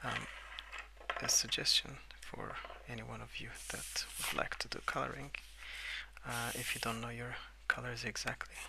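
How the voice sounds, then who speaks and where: A young adult man softly rambling in a breathy half-whisper for ASMR, relaxed and unhurried, close to the microphone. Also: medium pitch, rough recording. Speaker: ASMR video creator. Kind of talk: soft-spoken ASMR rambling while colouring.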